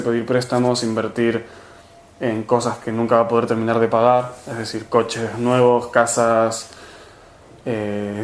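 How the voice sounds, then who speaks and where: Argentine man, interviewee; flat delivery, halting with fillers, echoey room. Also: mid-range voice. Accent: Argentine Spanish. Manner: hesitant, many fillers and restarts. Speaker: movement organizer. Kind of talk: interview answers.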